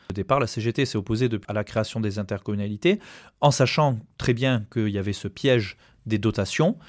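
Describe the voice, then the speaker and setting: A man's voice: fairly low pitch, darkish tone, no echo, studio quality. A man speaking as a union representative in an interview, arguing emphatically and often repeating words as he goes. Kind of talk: spoken interview, argumentative.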